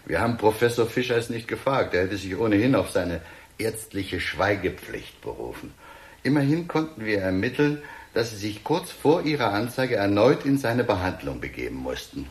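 A talkative police chief for a radio play, low pitched, swings up and down a lot, placating and dry. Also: male voice, very animated. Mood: wry, explanatory. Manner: measured, wry, placating. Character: composed, talkative, wryly condescending male police chief inspector. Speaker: actor. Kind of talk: German radio drama acting.

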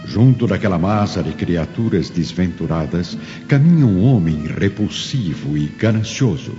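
A Brazilian man narrates a radio drama in a very deep, theatrical storytelling voice. Long reverb surrounds him and music plays clearly behind. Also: animated, moderate pace, dark tone, highs cut off.

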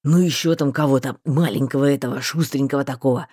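Woman putting on a gruff, folksy male mage. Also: rumbling low, quick pace, mellow, no echo, clean studio sound, voice pushed down. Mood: rambling. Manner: rough, folksy, rambling. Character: bluff, talkative older male mage, teasing.

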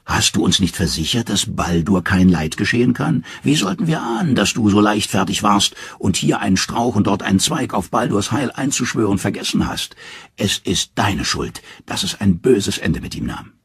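A man's voice: mid-range voice, sing-song, moderate pace, vocal fry, breathy edge, pitched above the performer's own voice, heavily acted. A man voicing a scheming male trickster god, speaking in a thin, wheedling voice. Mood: reproachful.

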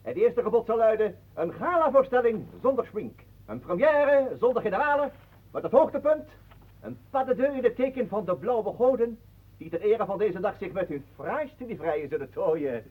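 An actor playing a pompous, mocking self-styled ballet master with florid, theatrical delivery in a radio play. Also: male voice, high voice, very animated, moderate pace, slightly rough, exaggerated voice. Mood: grandiose, exalted. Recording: muffled phone-like old radio recording.

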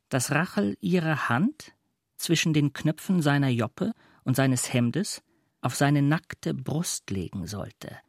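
Dry-recorded male literary narrator, mid pitch. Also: no echo. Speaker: narrator of a radio play.

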